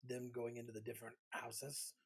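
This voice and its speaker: A man's voice: mid-range voice, completely flat delivery, normal speed, slightly rough. A man co-hosting a podcast, chatting informally and giving his opinions on games. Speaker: podcast co-host. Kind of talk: casual chat about games.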